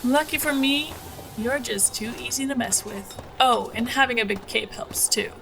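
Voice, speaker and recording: A woman's voice: fairly high pitch, normal speed, creaky, noisy background. A woman playing a cocky, teasing airship pilot and trickster in an audio drama, sly and flippant. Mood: playful, boastful. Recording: music behind the voice.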